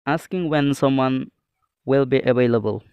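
A man teaching a scripted English lesson, reading out phrases in a deliberate, phrase-by-phrase way. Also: mid-range voice, smooth, no echo, muffled recording.

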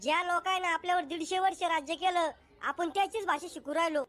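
A cheeky, lazy village schoolboy in a cartoon, as a voice actor plays him. He jokes and boasts in a high voice. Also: fairly even intonation, brisk, a bit bright, faint music behind.

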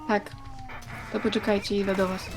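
A woman's voice: medium pitch, flat delivery, moderate pace, poor audio. A woman chatting casually during a game, often explaining things to the other players. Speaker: player in a group gaming session. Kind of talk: casual gameplay chat.

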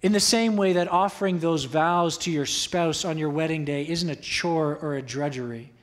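A young man delivers a sermon at a church pulpit through its microphone. His voice sits slightly high and carries an earnest, exhorting tone. He drives his points home by repeating key phrases. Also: normal speed. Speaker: preacher.

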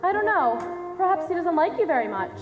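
Young woman as a high-voiced, wry American scientist. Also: big pitch swings, fast, phone-quality sound. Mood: composed, wry. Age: young adult. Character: dry-witted, protective woman scientist. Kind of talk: projected stage acting. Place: theater stage, distant camera. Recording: reverberant stage hall, music behind.

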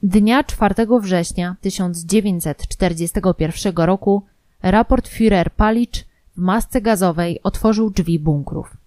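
A woman's voice: mid-range voice, unhurried, a little mellow, no echo. A woman narrating a scripted historical true-crime audio programme.

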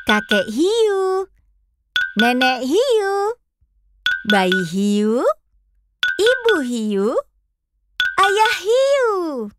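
A woman's voice: extremely high pitch, big pitch swings, very slow, pauses often, loud music behind the voice. A woman voicing a cheerful pink fox who hosts a children's cartoon and coaxes kids through a hide-and-seek game. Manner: bright, coaxing, talks to kids.

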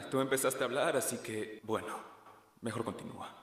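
An actor with a Latin American Spanish accent playing a calm, sarcastic young male interrogator in an animated drama fandub, conversational and wry. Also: medium pitch, moderate pace, lightly acted. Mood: wry, probing. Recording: echoey hall reverb.

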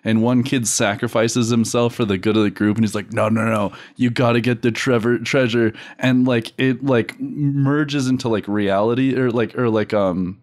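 Casual male comedy podcaster, mid-pitched, who stumbles and giggles through his story ideas among friends. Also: steady tone, moderate pace, few pauses. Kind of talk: casual group brainstorming.

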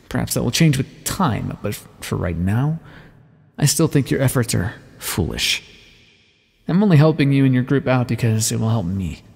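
Guy doing an aloof vampire scientist for asmr roleplay, creaky voice, wry and condescending, big echoey reverb. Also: mid-range voice, darkish tone. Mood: cynical, disdainful. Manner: wry, condescending, formal wording with pet names. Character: aloof, teasing male vampire scientist, reluctant ally. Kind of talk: ASMR roleplay, scripted intimate monologue to the listener.

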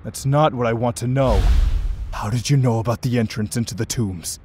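A man's voice: medium pitch, moderate pace, darkish tone, slight background noise, natural voice. A young man voicing an earnest, intense sorcerer in a manga dub, serious and dramatic. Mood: angry, demanding. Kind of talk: amateur anime manga dub acting.

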